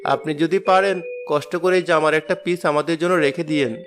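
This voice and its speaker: A man's voice: a little high, fairly even intonation, fairly quick, a little hiss or hum, lightly acted. A man playing a humble, poor village father. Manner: humble, polite, gentle. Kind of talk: cartoon voice acting. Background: background music.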